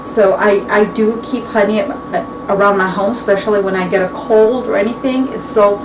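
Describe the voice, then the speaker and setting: A woman's voice: medium pitch, fairly even intonation, fairly quick. Chatty woman in her forties, echoey kitchen. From Mexican heritage. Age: 40s. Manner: chatty, rambling with frequent restarts and fillers. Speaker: beauty vlogger. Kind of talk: casual chat to camera. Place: home kitchen, indoors. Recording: echoey room, distant mic, clear background noise.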